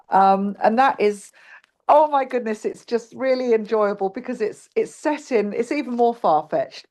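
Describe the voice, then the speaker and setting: Chatty woman, mid-pitched, gushing and rambling over a video call. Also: darkish tone. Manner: enthusiastic, rambling. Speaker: book club member. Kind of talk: casual group chat.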